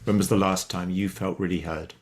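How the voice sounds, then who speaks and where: A man's voice: sits low, flat delivery, a little fast. A man taking part in an online workshop over a video call, speaking earnestly and directly. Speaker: workshop participant. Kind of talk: casual personal sharing.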